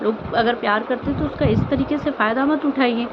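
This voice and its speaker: A woman with a fairly high voice speaks as an informal commentary vlogger. She is earnest and pleading, often repeating herself and posing rhetorical questions. The sound is somewhat muffled, with clear background noise behind her. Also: brisk. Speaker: vlogger commenting on online family drama. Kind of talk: informal opinion commentary addressed to viewers.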